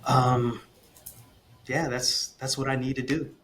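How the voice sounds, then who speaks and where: A man's voice: medium pitch, flat delivery, slow, a bit bright. A man guest on a casual podcast, talking earnestly and expressively, often repeating a phrase while he gathers his thoughts. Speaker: guest, communication coach for parents.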